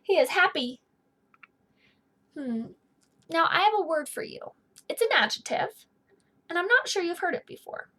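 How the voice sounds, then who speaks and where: A woman's voice: extremely high pitch, lively intonation, moderate pace, long pauses, bright, forceful tone. A woman in her thirties giving a children's read-aloud lesson, explaining things in simple phrases for young listeners. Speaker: teacher reading aloud. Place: small indoor office or study.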